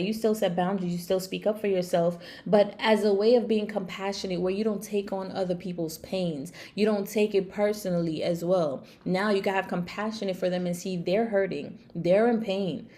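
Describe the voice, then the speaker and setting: A raspy-voiced woman with a slight cold, giving a casual, conversational tarot and astrology reading to viewers, with playful asides. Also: medium pitch, dark tone. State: getting over sneezing and coughing. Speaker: online tarot and astrology reader.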